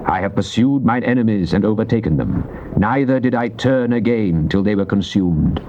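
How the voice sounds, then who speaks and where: An actor playing a devout psalmist king, reciting scripture in a solemn, declamatory, deliberate way. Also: lowish voice, animated, warm tone, close to an everyday voice. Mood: triumphant, stern. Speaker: actor reading Psalms in a dramatized Bible recording. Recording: muffled old cassette tape with faint noise.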